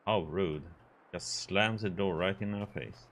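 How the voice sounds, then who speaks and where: A man's voice: low voice, measured pace, fairly bright tone, a little hiss or hum. A man from Sweden, casually commenting on a video game as he plays and muttering to himself and to the characters on screen. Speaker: game streamer.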